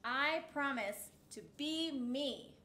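A woman in her thirties reading a picture book aloud for children's storytime, phrase by deliberate phrase, in a roomy indoor space. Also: sits high, bright, forceful tone. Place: indoor set with backdrop, seated. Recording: roomy echo, faint background noise.

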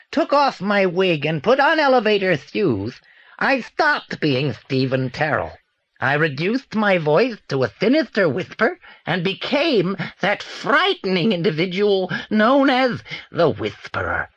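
An actor with a British accent playing a reclusive, secretive old film actor in a children's audio drama, deliberate and theatrical. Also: male voice, high voice, wildly swooping pitch, a bit bright, no echo, muffled recording, exaggerated voice. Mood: confessional.

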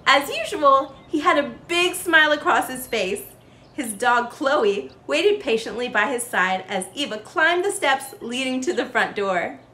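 A young woman reads a storybook to children. Her voice is high and very lively in pitch, with expressive emphasis on the words as she narrates. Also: a little slow, roomy sound. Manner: animated, sing-song emphasis. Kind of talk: expressive read-aloud storytelling for kids.

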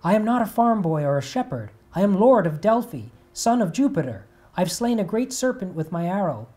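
A storyteller voicing a proud young male god of light who pleads and shouts after the one he loves. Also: medium pitch, a little slow, close to an everyday voice. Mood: pleading.

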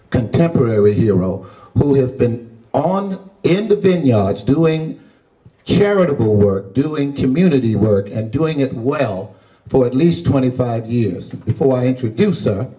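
A man's voice: mid-range voice, warm tone. Older guy giving a talk at a podium, handheld mic, sounds a bit distant in a big room. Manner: folksy, unhurried, conversational. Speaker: historian and lecture host. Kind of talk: public talk to a library audience. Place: large library room with an audience.

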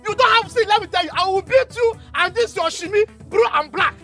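A man's voice: very high voice, fairly quick, bright, forceful tone, faint music behind. A man with a Nigerian accent playing a combative house agent who taunts and threatens in repetitive bursts in a comic film drama. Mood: mocking threats.

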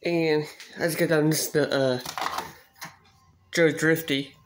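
Man, fairly high pitch, casual home-video chat, halting, repetitive, clear background noise, handheld phone indoors. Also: steady tone. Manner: halting, repeats himself. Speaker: cassette collector showing a collection. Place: small bedroom, at a closet, indoors. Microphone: handheld phone camera, speaker behind it. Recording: noisy handheld home recording, clicks.

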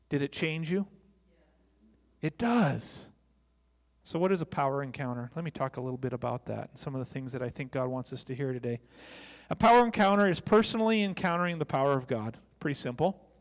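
American man, middle-aged pastor, high voice, sermon delivery, handheld mic, long hall reverb. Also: a little fast, pauses often. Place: church stage, large indoor room. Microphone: handheld microphone.

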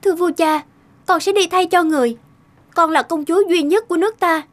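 A woman's voice: very high voice, a little fast. A woman voicing a brave, earnest young princess in a fairy-tale cartoon, speaking in a formal, resolute way.

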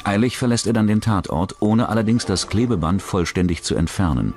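A male narrator with a very deep voice reads a scripted true-crime documentary. Clearly audible music runs behind him. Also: fairly quick, darkish tone.

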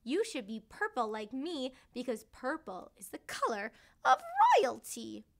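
A woman's voice: on the high side, sing-song, a little slow. A woman voicing a bossy purple parrot who squawks shrilly. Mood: squawking.

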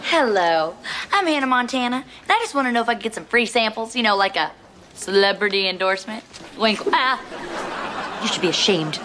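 A woman's voice: on the high side, expressive, moderate pace, background noise, lightly acted. A young woman playing a brash teenage pop star. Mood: cheeky. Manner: loud, bouncy, comic. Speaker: teen pop star lead. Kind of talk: sitcom acting. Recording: music and studio audience behind. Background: laugh track, music.

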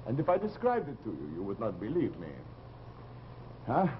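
Casting: formal middle-aged physician, mid-pitched but lively inflection, 1950s TV horror, hissy muffled soundtrack. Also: male voice. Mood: guarded. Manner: formal, measured, polite. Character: grave, courteous middle-aged doctor. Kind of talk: 1950s live television drama acting. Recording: noisy, muffled old TV soundtrack.

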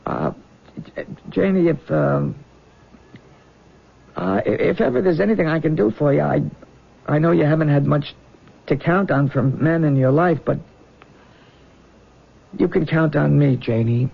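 An actor playing an earnest, devoted young soldier in a 1970s radio drama, pleading and faltering. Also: male voice, a little high, unhurried, vocal fry, long pauses, slightly rough, exaggerated voice. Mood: hurt devotion. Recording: dull 1970s radio broadcast recording.

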